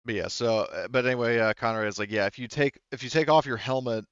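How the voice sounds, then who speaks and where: A man running an online tabletop game, casually explaining rules in a halting, rambling, informal way. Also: mid-range voice, clear, resonant tone, no room sound, dull audio.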